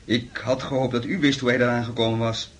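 An actor playing a calm, polite police inspector in a Dutch radio play, formal and matter-of-fact. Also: male voice, medium pitch, a little fast, natural voice.

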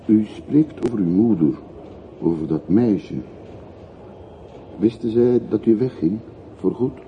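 A man's voice: on the low side, expressive, slow pace. An actor playing an older working man in a radio play, curious and courteous, asking polite, probing and hesitant questions. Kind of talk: radio drama acting. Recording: muffled, noisy old radio play recording.

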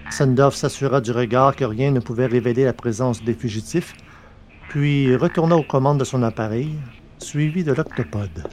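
A man reads scripted science-fiction narration. His voice sits in a middle register. The delivery is measured and literary, like an audiobook. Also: medium pitch, a little mellow, slight background noise.

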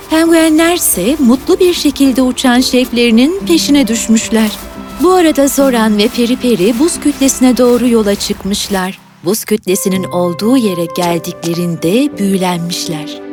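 A woman's voice: a little high, measured pace, music in the background. A woman narrator reading a scripted fairy tale in storybook style.

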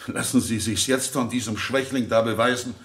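An actor playing an obsessive, lovesick murderer in a radio crime play, impassioned, bitter and pleading. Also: male voice, medium pitch, steady tone, normal speed, natural voice.

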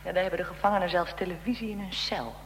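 A woman's voice: fairly low pitch, quick pace, close to an everyday voice. A woman acting in a radio drama as a curious, easily startled young interviewer who questions a figure from history. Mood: uneasy, naive. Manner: inquisitive, expressive, reacts with alarm and wonder. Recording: long echoing reverb, faint background noise.

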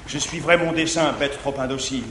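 A man playing a jealous middle-aged guardian in declaimed stage verse, swinging from tender pleading to shouting, in a reverberant 1959 theatre recording. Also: fairly high pitch, fairly even intonation, brisk, noisy background, natural voice. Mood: angry. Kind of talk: 1950s French stage verse acting.

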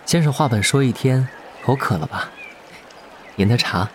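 A man playing a gentle but defiant, self-sacrificing young immortal in an audio drama, with earnest, expressive delivery. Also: medium pitch, moderate pace, slight background noise, lightly acted. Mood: teasing. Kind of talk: Chinese audio drama acting.

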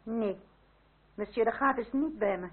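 Through a thin old radio recording, a noblewoman of guarded dignity. Her voice is husky and creaky at a middling pitch, her manner formal, restrained and politely firm, in period drama style. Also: measured pace, phone-quality sound. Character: dignified, guarded aristocratic lady under questioning.

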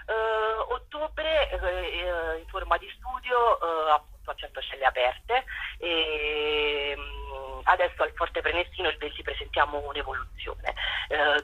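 Low, bright husky woman on the phone. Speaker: theatre collective member, radio guest. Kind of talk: informal radio interview. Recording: tinny telephone line.

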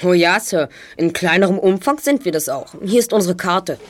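A well-spoken young sleuth leading his gang in a radio play, acted by a woman. The voice is slightly low, formal and assured, over faint background noise. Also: moderate pace, close to an everyday voice. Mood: polite, proud. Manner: articulate, formal, self-assured. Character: confident, well-spoken boy detective, leader of a trio. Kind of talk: German audio drama acting.

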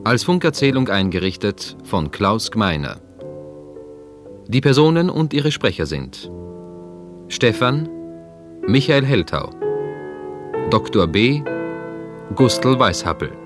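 A male announcer speaks slowly over clear music. He delivers formal radio titles and credits, with a widely moving, fairly low pitch. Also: sing-song.

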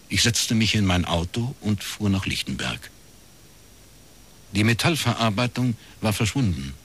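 An actor playing an educated, skeptical private detective in a radio crime drama, terse, dry and matter-of-fact. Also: male voice, deep voice, fairly bright tone, husky, exaggerated voice. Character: skeptical, uneasy male private detective, educated, narrating his case. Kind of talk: radio crime drama, dialogue and first-person narration.

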